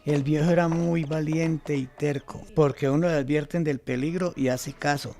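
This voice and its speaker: Creaky-voiced elderly Colombian grandfather, played by a man. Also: fairly high pitch, fairly even intonation, normal speed, darkish tone, lightly acted. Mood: earnest, reminiscing. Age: over 60. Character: elderly grandfather recalling his childhood amid political violence. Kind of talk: radio drama storytelling.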